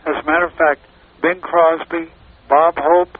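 A man's voice: fairly high pitch, flat delivery, moderate pace. A man reminiscing in casual chat, hesitant and often searching for words. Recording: noisy, tinny telephone-like line.

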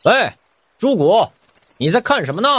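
An actor playing an earnest, expressive middle-aged police detective who loves mystery novels, in an audio drama. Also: male voice, high voice, normal speed, husky, no echo, exaggerated voice. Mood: calling out. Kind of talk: multi-cast audio drama acting.

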